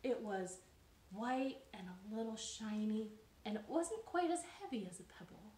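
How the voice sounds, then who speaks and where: A woman's voice: medium pitch, big pitch swings, measured pace, darkish tone. A young adult woman, a children's storyteller, giving a storytime for young children. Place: living room, indoors. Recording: roomy living-room sound.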